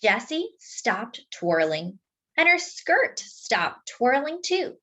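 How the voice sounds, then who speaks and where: Expressive, slow-paced woman storyteller. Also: fairly high pitch. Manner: deliberate, with drawn-out emphasis for young listeners. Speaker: children's storyteller. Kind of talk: expressive picture-book read-aloud.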